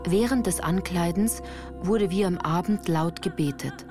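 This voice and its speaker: Female narrator for literary radio play: lowish voice, composed, careful diction, clear music bed underneath. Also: a little slow. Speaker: first-person narrator.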